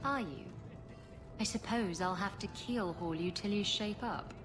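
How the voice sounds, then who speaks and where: A woman acts a brash female pirate captain in a fantasy game. She is flirty, cheeky and playfully teasing, with a middling pitch, and music plays clearly behind her. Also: a little slow, close to an everyday voice. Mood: teasing, relaxed. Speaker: video game voice actor. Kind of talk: bantering game dialogue.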